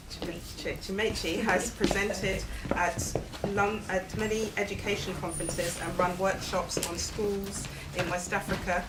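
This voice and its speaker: A woman hosts a live event, reading an introduction aloud and asking questions, laughing often and tripping over her words. Her voice is fairly low. The room is echoey, and loud noise sits under everything. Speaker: event host.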